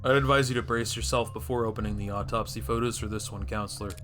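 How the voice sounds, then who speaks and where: A man's voice: fairly low pitch, fairly even intonation, fast, fairly bright tone, soft background music, lightly acted. A man voicing a blunt, jaded, suspicious crime-scene investigator in a clipped, accusing manner for a game. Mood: grim.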